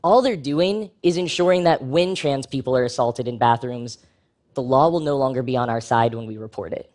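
A man giving a prepared public talk with a wry, frank, humorous delivery and lively jumps in pitch for emphasis. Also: moderate pace.

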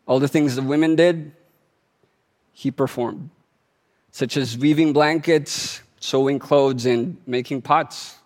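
A man from the Philippines giving a prepared talk to an audience, telling it like a story and slowing down to stress key words. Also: mid-range voice, steady tone, a little mellow. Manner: measured storytelling delivery, slowing for emphasis. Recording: long hall reverb.

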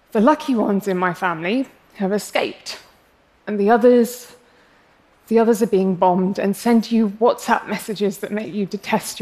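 A young English woman with an English accent giving a prepared public talk, passionate and wry with self-deprecating humor. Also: mid-range voice. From England.

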